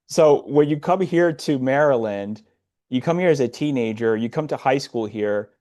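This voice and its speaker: A man's voice: medium pitch, lively intonation, measured pace, mellow. Curious man hosting an interview. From Nicaragua. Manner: friendly, curious questioner. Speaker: host. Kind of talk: casual interview.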